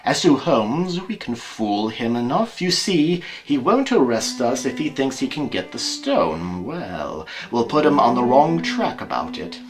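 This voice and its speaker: A man acts a villainous colonel who leads a gang in an audio play. He sounds conspiratorial and impatient, with clipped urgency and a lively, shifting pitch. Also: a little slow, hardly any pauses, faint music behind. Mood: scheming. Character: scheming, tense villainous colonel, leader of crooks. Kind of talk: amateur audio drama acting.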